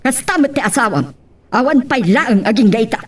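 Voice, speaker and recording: A woman's voice: on the high side, animated, normal speed, husky, far from the mic. A woman acting a scolding, exasperated character with an Ilocano accent in an audio drama.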